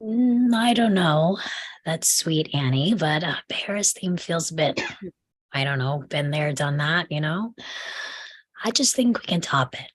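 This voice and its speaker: A woman plays a poised, competitive bridesmaid in a film comedy script. Her voice is lowish and husky, with polite condescension in the delivery. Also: lively intonation, a little slow. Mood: politely condescending. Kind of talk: screenplay table read.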